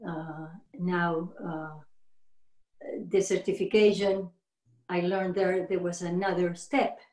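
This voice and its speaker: A middle-aged woman from Argentina with an Argentine Spanish accent. She is a yoga teacher being interviewed, speaking halting second-language English with many restarts and frequent laughter. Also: on the low side, little pitch movement, darkish tone. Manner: laughs often. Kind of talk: casual interview conversation. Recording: roomy echo.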